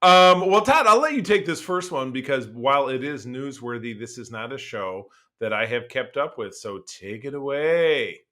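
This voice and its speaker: A man co-hosting a pop-culture podcast in casual, rambling and excitable chat, with some swearing. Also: medium pitch, moderate pace, few pauses. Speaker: podcast co-host.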